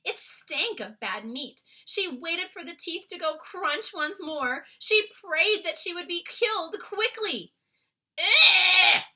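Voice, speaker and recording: Woman, storybook narrator, high voice, very bright and crisp-edged, expressive read-aloud delivery. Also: measured pace, hardly any pauses. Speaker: storybook reader.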